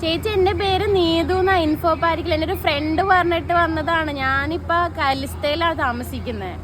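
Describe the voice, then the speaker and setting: A woman's voice: very high voice, moderate pace, background noise. A young woman on an improvised prank phone call, speaking in an insistent, pleading way. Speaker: prank caller posing as a tailor's customer.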